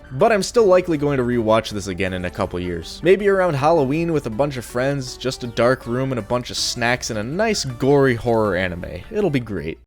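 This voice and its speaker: A young adult man reading a scripted review in a casual, opinionated, sardonic way. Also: medium pitch. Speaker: video reviewer.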